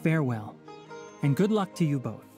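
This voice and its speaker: A man's voice: on the high side, sing-song, moderate pace, music in the background. An actor playing a young male forest watcher in a video game, polite, articulate, composed and firm. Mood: calm. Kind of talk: video game cutscene voice acting.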